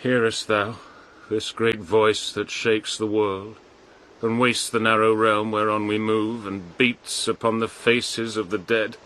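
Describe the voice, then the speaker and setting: Dying noble king, grief-stricken, very slow stately declamation, bright forceful voice, radio verse drama, noisy ambience. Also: on the low side, flat delivery. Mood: anguished, bewildered.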